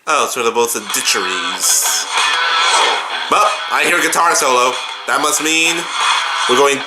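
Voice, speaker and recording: A man's voice: mid-range voice, unhurried, loud music behind the voice. A man giving casual running commentary as he reacts to a show. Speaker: host of a reaction video. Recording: echoey room, show audio playing behind.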